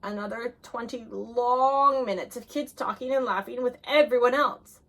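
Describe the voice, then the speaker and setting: A woman reading a children's picture book aloud, expressive, going soft and hushed at the sad moments. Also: fairly high pitch, normal speed. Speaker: reader.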